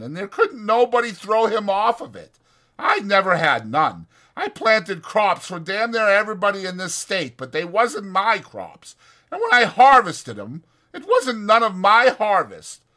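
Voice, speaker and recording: A stubborn old ranch hand, pitched very high and loud. He speaks in a rustic drawl, insistent and easily indignant, in audiobook drama style. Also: exaggerated voice. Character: old crippled swamper man, stubborn, excitable dreamer.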